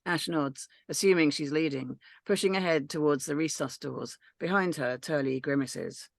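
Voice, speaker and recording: Narrator for a script reading: woman, gravelly edge, dry close sound, steady and matter-of-fact. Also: deep voice, normal speed, no echo. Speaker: narrator reading stage directions. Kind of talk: script read-through narration.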